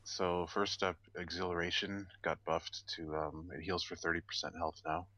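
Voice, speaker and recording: A man's voice: low voice, fairly even intonation, moderate pace, sharp tone, dry recording. A man explaining game details casually on a podcast in a matter-of-fact, concise way. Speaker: podcast co-host. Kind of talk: casual explanatory gaming discussion.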